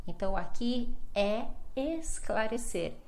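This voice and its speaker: A woman's voice: on the high side, expressive, slow, darkish tone. A Brazilian woman teaching Portuguese online, repeating each example sentence patiently. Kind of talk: online language lesson.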